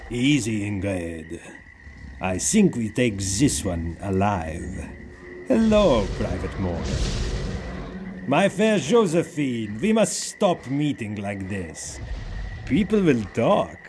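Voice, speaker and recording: A gloating Nazi officer from a pulp audio drama, savoring his words slowly. He is courtly and mocking, with theatrical swoops of pitch, and music runs behind him. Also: huge pitch swings, full character voice. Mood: commanding. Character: suave gloating Nazi officer villain, adult man.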